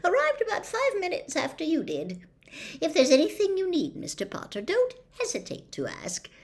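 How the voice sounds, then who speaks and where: A woman's voice: very high-pitched, wildly swooping pitch, moderate pace, pitched above the performer's own voice, heavily acted. A woman voicing a chatty, deferential, chuckling male innkeeper in a storybook reading. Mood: obliging, amused. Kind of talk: storybook character voice.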